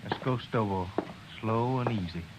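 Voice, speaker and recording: An American actor playing a soft-hearted lawman's helper in a 1950s radio western, in a plain-spoken, folksy manner. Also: on the low side, moderate pace, close to an everyday voice. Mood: tense. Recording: muffled old radio recording, slight hiss.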